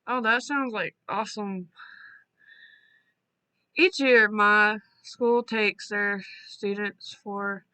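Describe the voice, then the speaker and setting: A woman hosting a storytelling channel, reading listener stories aloud in a casual way and breaking off for quick asides. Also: medium pitch, pushed and bright, clean tone, dry recording, rough recording.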